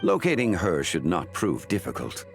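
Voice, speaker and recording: Deep, formal ancient sage. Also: male voice, huge pitch swings, gravelly, over loud music, heavily acted. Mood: composed, measured. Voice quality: deep, measured. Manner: formal, deliberate, archaic phrasing. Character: calm, learned, ancient male sage in a fantasy game. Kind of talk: scripted video game dialogue.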